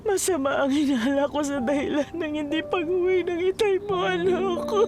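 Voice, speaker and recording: A woman's voice: very high-pitched, fairly even intonation, moderate pace, a little mellow, soft background music. A woman playing an anxious, suspicious middle-aged wife and mother in a Filipino radio drama, plaintive and fretful. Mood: alarmed.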